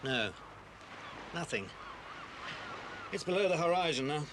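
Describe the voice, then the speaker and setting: An actor playing a calm, protective British man looking after children in a 1980s adventure audio drama. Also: a little high, measured pace. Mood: serious. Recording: slightly hissy 1980s cassette recording.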